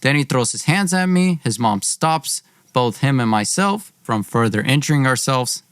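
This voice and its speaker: A man's voice: mid-range voice, lively intonation, normal speed, a bit bright, smooth, no echo, studio quality. A man narrating a personal story as host, in an emphatic, aggrieved way with acted-out dialogue. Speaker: podcast host.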